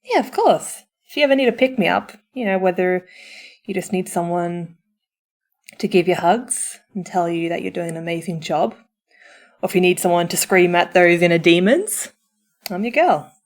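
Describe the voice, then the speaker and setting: A woman's voice: fairly low pitch, normal speed. A woman speaking as a supportive friend in a comforting roleplay, chatty and informal, with a theatrical, encouraging manner. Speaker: audio roleplay performer playing a supportive friend. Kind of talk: comforting roleplay, chatty pep talk to the listener.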